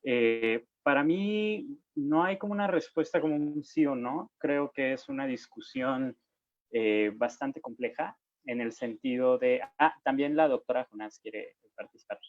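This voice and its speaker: Young man, online panelist, halting delivery, muffled dry call audio. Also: mid-range voice, dry recording. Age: young adult. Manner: hesitant, many fillers and restarts. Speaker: panelist, young political activist. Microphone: video call.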